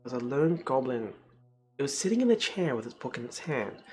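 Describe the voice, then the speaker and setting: Animated streamer narrating a text adventure. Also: medium pitch, brisk. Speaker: streamer reading a text adventure game aloud. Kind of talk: read-aloud story narration with casual asides. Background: music.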